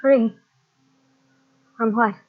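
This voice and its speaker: Devout earnest young lady in old radio drama, high voice, solemn, muffled tape. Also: steady tone, drawn-out words. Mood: concerned, questioning. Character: earnest, devout, gently probing young woman. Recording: dull lo-fi old radio cassette transfer.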